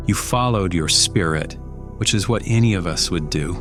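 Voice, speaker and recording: A man playing a gentle, reassuring, spiritual husband in an audio drama, with measured and formal phrasing. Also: sits low. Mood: gentle reassurance. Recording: voice over prominent music bed.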